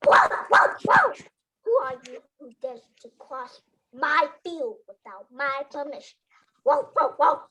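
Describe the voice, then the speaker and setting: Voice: high voice, very animated, a little slow, pushed and bright, heavily acted, low-quality recording. A performer playing a snarling, territorial but humble dog in an amateur folktale reading, with barking, theatrical delivery. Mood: fierce, challenging.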